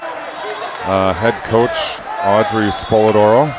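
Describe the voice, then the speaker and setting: A middle-aged man hosting a courtside broadcast sign-off, joking and rambling as he talks loudly. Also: lowish voice, flat delivery, slow. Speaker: broadcast host. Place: loud gym with a crowd. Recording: noisy crowded gym. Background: crowd cheering, balls bouncing.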